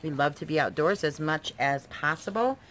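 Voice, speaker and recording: A woman's voice: low-pitched, expressive, moderate pace, a bit bright. A woman giving a casual show-and-tell book review, talking in an enthusiastic, explanatory way like a homeschooling mother. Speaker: homeschooling mother presenting books. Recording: noisy home video recording.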